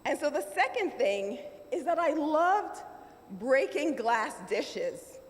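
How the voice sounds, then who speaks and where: A middle-aged woman with a Nigerian accent gives a motivational keynote speech, measured and emphatic, with dramatic pauses. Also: high voice, very animated. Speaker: keynote speaker. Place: large conference hall. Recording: roomy hall reverb, faint room noise.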